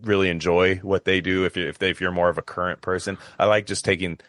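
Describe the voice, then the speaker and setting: A man's voice: low-pitched, flat delivery, fast talker, fairly bright tone, dry recording, studio quality. A man chatting casually as a podcaster, talking emphatically in rambling runs and repeating phrases for stress. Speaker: podcaster, guest.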